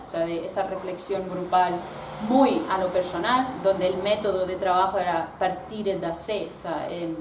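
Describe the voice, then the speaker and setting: Hesitant woman in an echoey room. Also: lowish voice. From Italy. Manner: thoughtful, searching for words with many fillers. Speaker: researcher giving a talk. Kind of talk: discussion talk presenting slides. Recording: roomy, distant mic, background noise.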